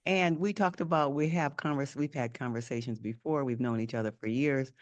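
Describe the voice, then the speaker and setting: A woman's voice: a bass voice, fairly even intonation, brisk. Woman, podcast host, friendly, conversational, self-correcting. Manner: friendly, restarts and rephrases often. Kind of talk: podcast interview.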